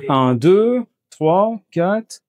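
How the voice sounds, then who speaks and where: A man's voice: sits high, animated, drawn-out words, a little mellow, dry recording. Man explaining on camera, dry sound. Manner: step-by-step, explanatory, talks while working. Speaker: geobiologist doing an energy clearing. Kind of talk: on-the-spot demonstration with explanation. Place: indoors in a house, moving between rooms.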